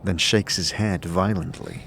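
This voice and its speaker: A man narrating an audio drama in a measured, descriptive, scripted storytelling style. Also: low-pitched, steady tone, fairly bright tone, slight background noise. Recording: clear music behind the voice.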